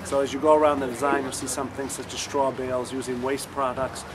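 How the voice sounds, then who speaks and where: A man's voice: medium pitch, little pitch movement, brisk, a crowd in the background. A man leading a landscape architecture student program, explaining it at length with many fillers. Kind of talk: on-site interview. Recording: noisy outdoor street recording.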